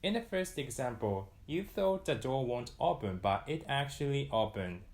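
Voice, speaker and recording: A young man from Japan with a Japanese accent, giving a calm, scripted lesson to camera. He says his Japanese example phrases more loudly and quickly, and he is recorded on a lapel mic in a small room. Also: mid-range voice. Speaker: language teacher.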